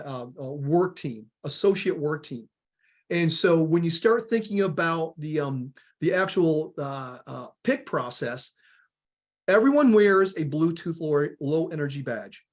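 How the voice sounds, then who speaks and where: A man with a Southern US accent presenting a product webinar, energetic and repeating words as he talks, often calling the audience guys. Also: on the high side.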